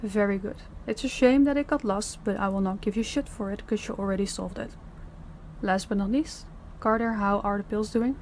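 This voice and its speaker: A woman's voice: mid-range voice, normal speed, darkish tone, slight background noise, natural voice. A woman playing a stern crime boss in an audio roleplay, speaking in a commanding, businesslike way. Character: stern female crime boss running her department heads. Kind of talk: scripted audio roleplay acting.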